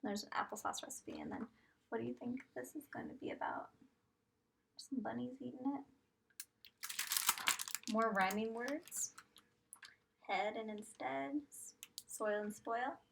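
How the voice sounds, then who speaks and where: A woman teacher reading a picture book aloud to young children, expressive and sing-song, pausing to ask guessing questions. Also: on the high side, vocal fry, distant mic, background noise.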